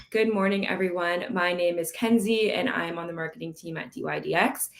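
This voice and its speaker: A young adult woman from a company's marketing team hosts a live interview, chatty and bubbly and a little under the weather. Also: fairly low pitch. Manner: bubbly, chatty, laughs often. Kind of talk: casual chat and hosted interview.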